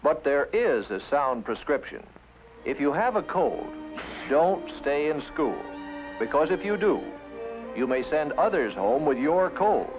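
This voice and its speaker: A man narrates in the manner of a 1950s educational film. His pitch swings widely as he explains in an emphatic, didactic way, posing questions and then answering them. It is an old film soundtrack with some music. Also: big pitch swings, a little slow, low-quality recording.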